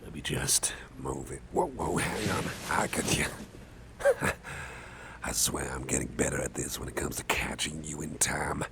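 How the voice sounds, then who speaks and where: A man playing a caring, affable boss in an ASMR roleplay, talking softly in a gentle, hesitant, chatty way with a gravelly voice. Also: measured pace, heavily acted. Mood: concerned, tender. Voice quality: deep, gravelly, breathy at times. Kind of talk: ASMR roleplay, soft close personal talk. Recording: faint background noise.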